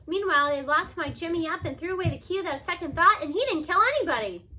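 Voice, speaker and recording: A woman voicing a fierce, tearful old grandmother, loud and bitter, slowing on her last words. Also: very high-pitched, animated, a little hiss or hum, pitched above the performer's own voice, full character voice. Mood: bitter, grieving.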